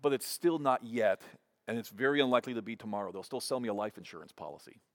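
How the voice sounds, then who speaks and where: A man's voice: medium pitch, expressive, fast talker. A man in his fifties preaching a sermon in a conversational way, often restarting with repeated words. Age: 50s. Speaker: pastor.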